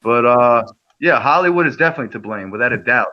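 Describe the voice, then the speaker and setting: A man's voice: medium pitch, lively intonation, fast talker, muffled recording. A man from New York chatting casually on a group livestream call, profane and rambling with lots of restarts. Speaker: livestream guest.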